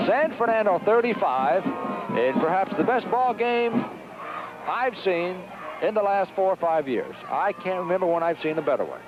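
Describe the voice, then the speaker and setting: An American man calling a live football game play by play on the radio, in a muffled, noisy 1970s broadcast recorded at an outdoor stadium. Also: high-pitched. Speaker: play-by-play sports announcer.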